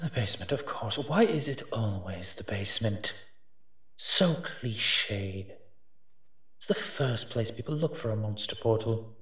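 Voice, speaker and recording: A man playing a teasing, protective monster detective in human form, gentle and husky, in an intimate audio roleplay. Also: lowish voice, expressive, no echo, exaggerated voice. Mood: soft, wry. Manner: slow, teasing, tender endearments.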